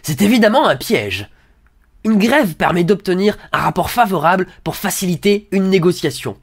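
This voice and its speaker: A man gives a sarcastic read-along of an article, hesitating often and dropping mock-innocent remarks. His voice sits a little high and has a gravelly, creaky texture. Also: sing-song, moderate pace. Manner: hesitant, many fillers, mock-naive asides. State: has a cold. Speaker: satirical commentator reading an article aloud.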